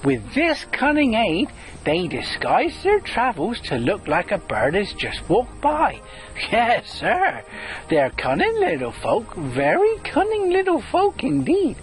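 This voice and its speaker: A woman's voice: on the high side, huge pitch swings, slow, faint music behind, low-quality recording. A woman narrating a children's storybook in a playful, teasing way, chuckling between lines. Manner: chuckling, teasing, sing-song emphasis. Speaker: storyteller and author.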